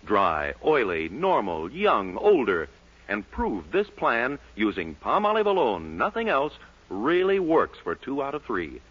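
A man's voice: mid-range voice, wildly swooping pitch, a little slow, husky. A man announcing on a 1940s radio show and reading its ads in an emphatic, polished sales style. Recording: dull 1940s radio broadcast recording.

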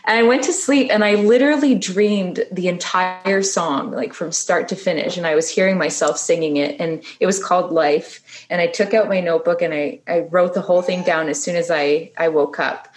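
A woman in her thirties from Canada, a singer-songwriter guest, chatting about her past with lots of ums and likes, going quieter on hard topics. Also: normal speed, hardly any pauses. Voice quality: low voice for a female singer. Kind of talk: casual interview storytelling.

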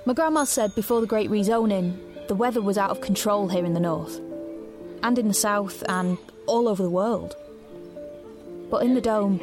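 A woman's voice: medium pitch, lightly acted. An actress playing a wry, reflective young woman who narrates her own story confidingly in a sci-fi audio drama. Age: young adult. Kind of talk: first-person scripted drama narration.

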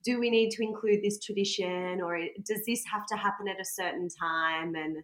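A woman's voice: mid-range voice, steady tone, normal speed. Talkative woman host in an echoey room. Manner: talkative, asks long run-on questions. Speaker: podcast host. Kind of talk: casual interview chat. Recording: roomy echoey sound.

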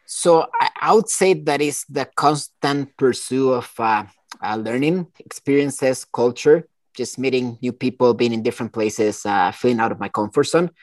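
A man, a guest talking in a relaxed, rambling way. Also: medium pitch, normal speed, no echo. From Costa Rica. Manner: relaxed, rambling, many fillers and restarts. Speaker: entrepreneur guest. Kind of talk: casual interview.

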